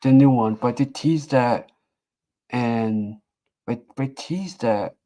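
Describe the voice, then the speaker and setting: A man chatting casually as a co-host, halting and repeating himself with many fillers. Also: medium pitch, dark tone. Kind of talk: casual chat.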